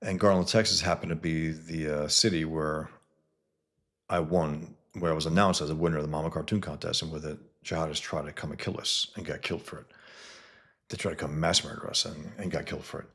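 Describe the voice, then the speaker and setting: Man, very low voice, halting casual talk, lots of fillers, livestream chat. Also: fairly even intonation, fairly bright tone. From the Bronx, New York. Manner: halting, many fillers and restarts; greets viewers mid-talk. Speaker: cartoonist.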